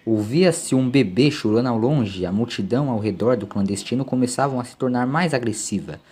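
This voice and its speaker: A man's voice: mid-range voice, a little fast, darkish tone, slightly rough. A man narrating a scripted dramatic story. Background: faint music.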